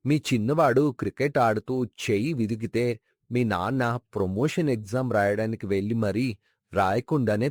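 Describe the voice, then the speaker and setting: A man's voice: fairly low pitch, a little mellow, no room sound, studio quality, lightly acted. A man playing a sincere, sentimental colleague and neighbour of a late father, earnestly reminiscing in a moral story. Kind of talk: dramatized moral story acting.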